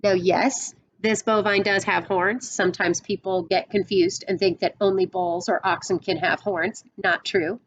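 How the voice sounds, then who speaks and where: A middle-aged woman reading a picture book aloud expressively, with dramatic pauses and explanatory asides. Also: mid-range voice, steady tone, dull audio, slight background noise.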